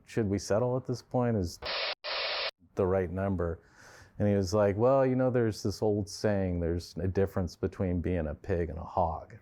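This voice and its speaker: A man telling a story in a documentary interview, quoting others. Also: sits low. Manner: animated storytelling, quotes others.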